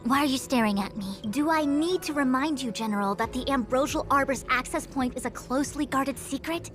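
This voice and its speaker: A woman's voice: high-pitched, brisk, lightly acted. An actress playing a proud, stern, impatient lady official in a video game, speaking formally and with reproach. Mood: indignant, reproachful. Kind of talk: scripted video game dialogue. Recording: background music under the voice.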